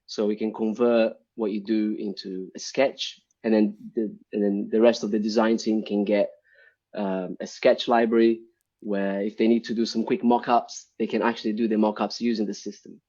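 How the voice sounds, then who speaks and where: A man with a low, warm voice, heard through dull lo-fi stream audio. He is from Brazil and talks as a guest on a live stream, full of ums and self-corrections. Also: little pitch movement, normal speed. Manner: hesitant, many ums and restarts. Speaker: guest, UI developer. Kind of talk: casual live-stream interview.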